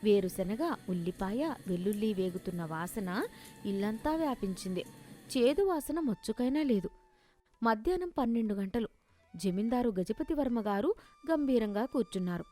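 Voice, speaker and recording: A woman's voice: a little high, expressive, moderate pace, dark tone, soft background music. A woman narrating a scripted moral story as a storyteller.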